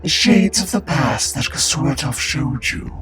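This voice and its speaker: A man playing a formal, patient, all-knowing confidant with measured, articulate and gently reproachful speech in an audio drama. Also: medium pitch, lively intonation, over music, close to an everyday voice.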